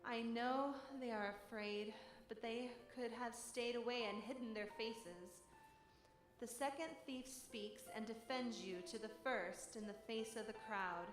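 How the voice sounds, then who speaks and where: A woman delivering a scripted dramatic monologue in church, deliberate and reflective. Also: fairly high pitch. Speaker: dramatic reader. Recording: echoey church hall with music. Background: music.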